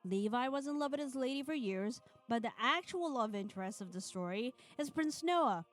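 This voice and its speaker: A woman livestreaming, moving between casual chat and reading a visual novel's narration aloud in an animated way. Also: fairly high pitch, normal speed. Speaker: streamer.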